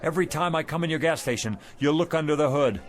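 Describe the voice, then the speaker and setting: A man acting an excitable, generous married pawnshop owner in a radio drama, speaking in a folksy, expressive way. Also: fairly high pitch, quick pace, lightly acted. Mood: kindly.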